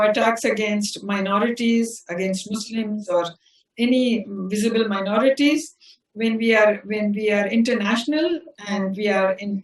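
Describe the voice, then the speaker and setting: Older woman recalling, from a distance. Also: on the high side. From South India. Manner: unhurried, restarts phrases often. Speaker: interview guest, community leader. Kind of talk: reflective personal storytelling. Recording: roomy sound from a distant mic.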